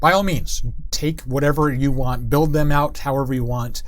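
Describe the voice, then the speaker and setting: A man in his forties chatting casually as an interview guest, rambling through anecdotes in an animated, enthusiastic way. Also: mid-range voice, normal speed. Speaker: creative director, interview guest. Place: small curtained studio set, indoors. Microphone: lapel mic.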